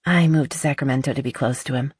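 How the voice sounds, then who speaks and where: An audiobook narrator voicing a nervous, earnest middle-aged woman confessing, with a halting, shaky delivery. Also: mid-range voice, slightly rough, no room sound, clean studio sound, lightly acted. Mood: regretful.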